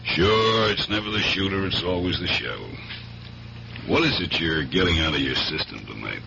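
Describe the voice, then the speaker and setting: A tough, fatherly police superior in a radio play. His voice is very deep and creaky, firm and plain-spoken. It comes through on a dull old recording. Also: lively intonation, normal speed. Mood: wry. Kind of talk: 1970s radio drama acting. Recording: dull vintage radio recording, faint hiss.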